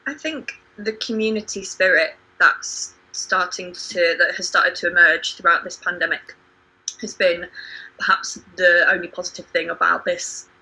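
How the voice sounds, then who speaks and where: A woman's voice: lowish voice, normal speed, bright, forceful tone, husky, rough recording. A woman chatting casually on a panel, in a loose conversational way full of small filler phrases. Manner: conversational, frequent "like" and "you know". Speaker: theatre company performer on a panel. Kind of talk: casual chat.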